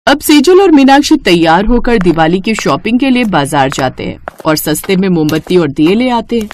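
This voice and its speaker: A woman reading scripted narration for a cartoon story in an expressive storytelling way. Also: medium pitch, normal speed, a little hiss or hum.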